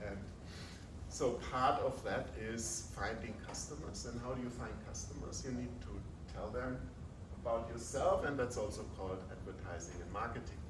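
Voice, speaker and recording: Chatty male host in an echoey room. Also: medium pitch. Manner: chatty, keeps asking "right?". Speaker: host introducing the speaker. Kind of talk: event introduction. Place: lecture room. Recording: echoey room, distant mic, background noise.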